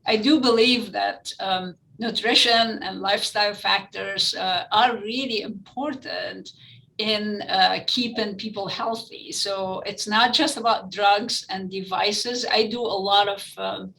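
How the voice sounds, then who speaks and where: A woman's voice: mid-range voice, fairly even intonation, a little slow, gravelly, sharp tone, poor audio. A middle-aged woman with a French-influenced Lebanese accent, giving earnest, explanatory answers in an interview. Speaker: interview guest, physician-researcher.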